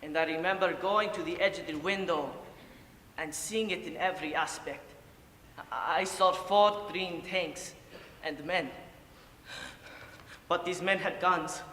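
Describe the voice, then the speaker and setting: A man performing a dramatic monologue as an old, boozy, guilt-ridden Ukrainian grandfather, speaking halting broken English. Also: on the high side, bright, forceful tone. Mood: guilty, haunted. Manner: halting broken English with sighs and murmured asides. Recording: echoey hall, distant, audible room noise.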